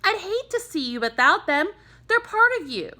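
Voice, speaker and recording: A woman voicing a kindly but firm female schoolteacher who speaks to children in measured phrases. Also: very high voice, very animated, sharp tone, pitched above the performer's own voice, exaggerated voice. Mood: warm, reassuring.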